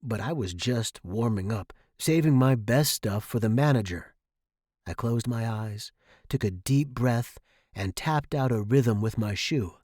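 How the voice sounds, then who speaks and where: A man's voice: medium pitch, expressive, a little slow, darkish tone, dry recording, clean studio sound. A man reading a first-person short story dramatically, as its narrator.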